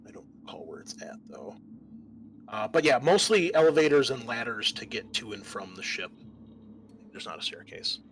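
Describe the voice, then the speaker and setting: A man acting as game master, explaining a tabletop roleplaying game in a casual, halting way. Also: mid-range voice, sing-song, gravelly, a bit bright, a little hiss or hum.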